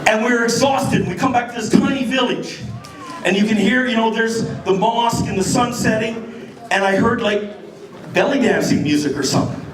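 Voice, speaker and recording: A middle-aged Canadian man telling a story live to an audience in an animated, slangy, informal way. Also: very high voice, normal speed. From Canada, near Detroit on the Canadian side. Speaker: b-boy storyteller. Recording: echoey hall from far off, crowd around. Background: audience crowd and laughter.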